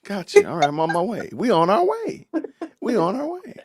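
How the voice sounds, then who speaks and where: Animated man hosting a podcast. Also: high voice, moderate pace, slight background noise. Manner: animated, preachy rants with rising emphasis. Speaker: podcast host. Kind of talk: casual live-stream chat.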